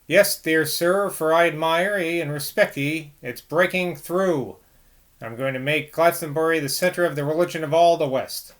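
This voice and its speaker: A man voicing a hoarse, rumbling, fervent preacher figure in a read-aloud novel. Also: normal speed, natural voice.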